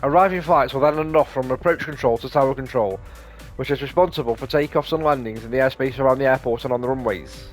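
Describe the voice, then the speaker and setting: A man's voice: mid-range voice, fast. A man hosting a gaming video, casually explaining play and reading tutorial text aloud in a rambling, explanatory way. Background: light game music.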